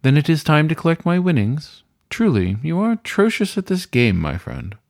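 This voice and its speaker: Man voicing a suave, seductive weasel gentleman. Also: on the high side, very animated, moderate pace, vocal fry, pitched above the performer's own voice. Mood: amused, resigned. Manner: somber, whispering, courtly. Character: suave, seductive male weasel, master of a haunted hotel.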